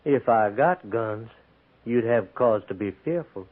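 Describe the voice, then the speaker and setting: A tinny vintage radio recording of a defiant captured Confederate cavalryman. He is an American man of middling pitch, sullen and blunt, with rough country grammar and deep bitterness. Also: expressive, a little slow. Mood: challenging. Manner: sullen, blunt, folksy ungrammatical frontier speech. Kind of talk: 1950s radio drama acting. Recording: tinny, band-limited 1950s radio recording.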